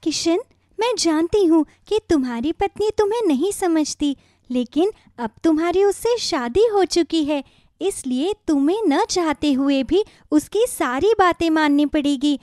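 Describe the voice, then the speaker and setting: Woman as a high-voiced, kindly magical talking radish. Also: expressive, a little slow, darkish tone, dry recording, clean studio sound. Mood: sympathetic. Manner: reassuring, caring. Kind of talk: children's moral story cartoon.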